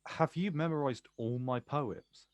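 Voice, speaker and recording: A man's voice: mid-range voice, measured pace, a little mellow, no echo, natural voice. Hesitant, wry male poet, played by an actor. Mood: warm, wry. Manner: questioning, stammering when unsure. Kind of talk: stage play acting, quiet two-hander.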